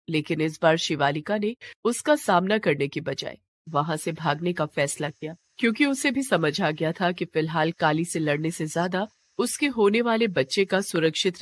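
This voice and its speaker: A woman narrating a scripted mythological tale in a dramatic storytelling style. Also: on the low side.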